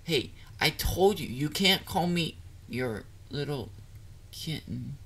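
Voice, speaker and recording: A man playing a shy, clingy, excitable young catboy boyfriend in an intimate romantic audio roleplay, hesitant and easily flustered. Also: a little high, slow, creaky, slight background noise, heavily acted. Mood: flustered, teasing. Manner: hesitant, vulnerable, flustered, with stammers.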